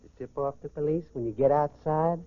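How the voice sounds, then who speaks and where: A man's voice: fairly high pitch, a little fast, close to an everyday voice. Ruthless, boastful holdup man, played by a male actor; commanding, scheming, 1940s radio crime acting, dull vintage recording. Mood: cold threatening. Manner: barking, commanding, threatening. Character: ruthless, boastful gentleman stickup robber, scheming and menacing.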